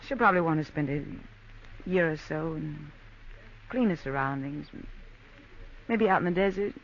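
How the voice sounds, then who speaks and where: An actress playing a guarded, wistful, world-weary young nightclub woman in measured 1940s radio drama style. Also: sits low. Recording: muffled, noisy old radio recording.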